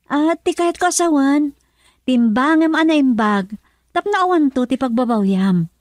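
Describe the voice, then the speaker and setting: A high female voice with a Filipino accent, close and dry. She is the concerned confidante of a radio drama, earnest and full of counsel. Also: lively intonation, moderate pace, dry recording. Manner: earnest, advising. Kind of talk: Ilocano radio drama acting.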